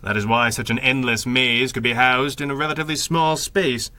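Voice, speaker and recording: An actor playing a suave, coolly superior masked crimefighter with precise, condescending delivery in a 1930s-style radio serial. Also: male voice, mid-range voice, fairly even intonation, brisk, bright, forceful tone, no echo, close to an everyday voice. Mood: coolly explanatory.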